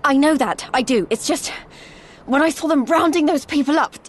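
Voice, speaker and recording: A woman's voice: high-pitched, normal speed, close to an everyday voice. A woman playing an earnest, impulsive young female fighter in a video game, speaking directly and plainly. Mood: worried, insistent. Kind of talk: video game cutscene acting.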